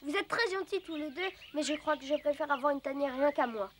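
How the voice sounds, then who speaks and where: A woman voicing a polite, earnest, independent little jungle boy in an animated cartoon. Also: sits high, fairly quick, slight background noise, natural voice. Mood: sincere. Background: faint music.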